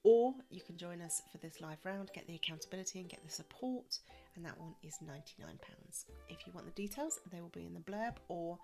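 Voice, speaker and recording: A woman talks through her solo podcast in a lowish, slightly wheezy voice, still getting over a cold. She's chatty and reassuring, with plenty of ums. Faint music sits under her voice. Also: a little fast, a little mellow. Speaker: podcast host.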